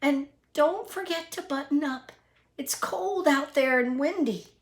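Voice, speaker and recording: A woman's voice: high voice, voice pushed up. A woman voicing a tender, sick and weary mother in a storybook, speaking weakly and fondly. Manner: weak, slow, fond.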